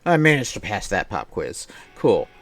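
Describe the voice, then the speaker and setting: A man's voice: lowish voice, sing-song, moderate pace, a bit bright. A man doing casual live game-stream commentary, rambling and repeating himself. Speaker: game streamer at a charity event. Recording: music playing behind the voice. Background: game music.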